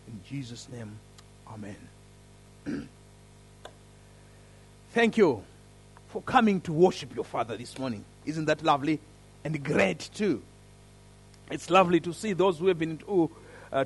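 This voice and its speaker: A man with an African accent leading a church service, praying and then telling a story in an earnest, lively way. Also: on the high side, slightly rough. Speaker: preacher. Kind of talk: sermon with prayer and story.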